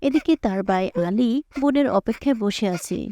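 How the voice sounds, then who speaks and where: A woman's voice: fairly high pitch, brisk, dark tone, no echo. A woman narrator reading a scripted story.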